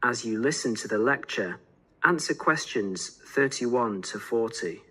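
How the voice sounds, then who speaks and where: A man reading scripted exam instructions as an announcer, in a formal, measured manner. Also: medium pitch, animated, sharp tone, slightly rough, low-quality recording.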